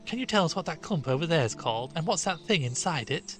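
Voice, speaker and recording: Courteous, curious posh schoolboy voiced by a male narrator, lifted pitch. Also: lively intonation, fast, a bit bright, faint music behind. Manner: polite, inquisitive. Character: polite, curious well-bred schoolboy.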